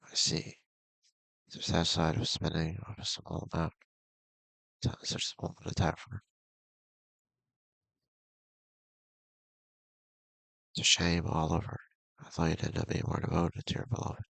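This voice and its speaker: Man reading as clingy, gravelly young best friend. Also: low voice, monotone, moderate pace, a bit bright, no room sound, exaggerated voice, low-quality recording. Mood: brooding, probing. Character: clingy, brooding young female best friend, intense and possessive. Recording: music playing behind the voice.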